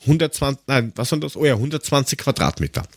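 A man's voice: medium pitch, very animated, quick pace, slightly rough, no echo, clean studio sound. A man with an Austrian accent telling anecdotes in a casual chat as a podcast co-host, blunt, colloquial and grumbling.

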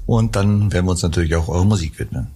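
A man's voice: deep voice, animated, fast. A man hosting a radio talk show, a jokey, teasing interviewer. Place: radio studio.

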